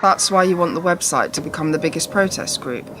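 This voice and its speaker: A woman's voice: on the low side, a little fast, noisy background, close to an everyday voice. A woman acting in a radio play as a sceptical young junior, speaking in short, incredulous questions. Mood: questioning.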